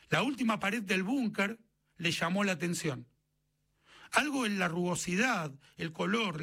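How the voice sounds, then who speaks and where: A man's voice: high voice, creaky, bright, forceful tone, slightly rough. A man with an Argentine accent reading a short story as scripted literary narration.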